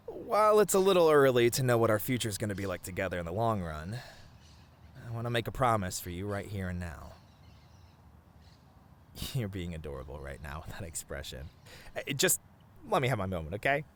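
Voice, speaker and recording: Low-voiced tender boyfriend, acted by a man. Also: a little hiss or hum. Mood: playful, affectionate. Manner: soft, earnest, hesitant with small stammers. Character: tender, sappy boyfriend confessing love on a picnic. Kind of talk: romantic ASMR roleplay, addressed to the listener.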